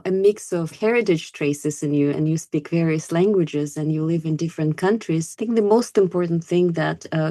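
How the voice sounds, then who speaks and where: A woman's voice: fairly low pitch, warm tone, dry recording. Encouraging woman host, dry close sound. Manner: encouraging, affirming listener. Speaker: podcast host. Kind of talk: interview hosting.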